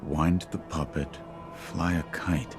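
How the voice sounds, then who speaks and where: A man playing a stoic, terse shinobi warrior in a video game, speaking gravely and plainly. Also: a bass voice, fairly even intonation, takes it slowly, heavily acted. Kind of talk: video game cutscene acting. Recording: background music under voice.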